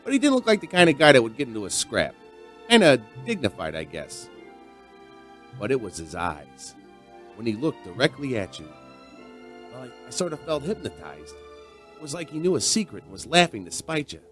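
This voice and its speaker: An actor playing a chatty, folksy bar owner, rambling conversationally through a radio drama monologue. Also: male voice, medium pitch, very animated, normal speed, pauses often, a bit bright, soft background music. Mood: reflective, curious.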